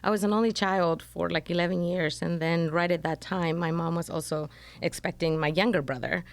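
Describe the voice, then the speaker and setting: A middle-aged woman from El Salvador, telling her story in a relaxed interview and filling her talk with "you know.". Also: lowish voice, a little fast. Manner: rambling, many fillers like "you know". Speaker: interview guest, foster mom. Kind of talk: casual conversational interview.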